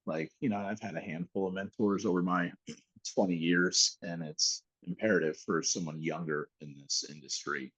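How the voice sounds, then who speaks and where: A man hosting an interview show, upbeat and joking, with quick interjections. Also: sits low, steady tone, fairly bright tone, breathy edge, no room sound, poor audio. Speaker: podcast host.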